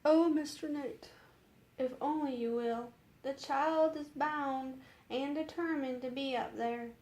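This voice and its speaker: A woman's voice: sits high, fairly even intonation, slow, a little mellow, pitched above the performer's own voice. A woman voicing a kindly, fretful old housemaid who talks in a plain, folksy way in a storybook. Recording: roomy echo with faint noise.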